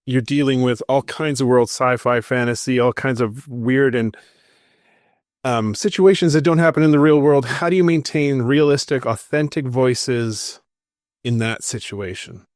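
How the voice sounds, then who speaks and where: A man's voice: mid-range voice, unhurried, no echo, clean studio sound. A man hosting an interview podcast, asking conversational questions.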